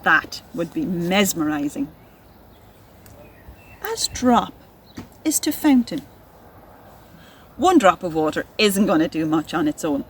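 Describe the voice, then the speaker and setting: Slow, deliberate woman teaching children. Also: lowish voice, slight background noise. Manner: expressive, deliberate, addressing young children. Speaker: nature educator and poetry reader. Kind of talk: children's educational narration and poem reading.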